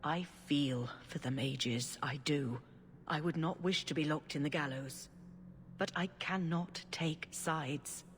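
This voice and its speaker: An actress playing an elderly, gentle head cleric in a game, speaking in a measured, solemn and formal way. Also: female voice, sits low, a little hiss or hum. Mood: compassionate, grave. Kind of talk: dramatic game dialogue. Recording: long church-like reverb, music behind.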